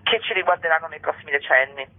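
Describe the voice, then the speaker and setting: A woman's voice: low-pitched, quick pace, phone-quality sound. A woman speaking as an interviewed author, explaining politics in an articulate, argumentative way with ironic asides. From Italy.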